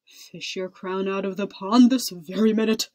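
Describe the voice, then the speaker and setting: A woman's voice: a little high, big pitch swings, measured pace, husky, no room sound, studio quality, exaggerated voice. A woman voicing a stern, exasperated king and father in a storybook, commanding and blustering. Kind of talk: storybook character voice.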